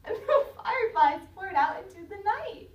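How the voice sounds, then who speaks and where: A woman teacher reading a children's story aloud in a dramatic, expressive way, pausing to question her listeners. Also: extremely high pitch. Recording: roomy, distant mic, faint noise.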